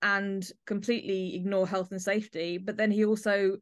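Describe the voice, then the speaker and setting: A woman hosting a podcast, discussing drama in a measured, analytical way. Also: medium pitch, flat delivery, dry recording, studio quality. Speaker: podcast host. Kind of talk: discussing an audio play.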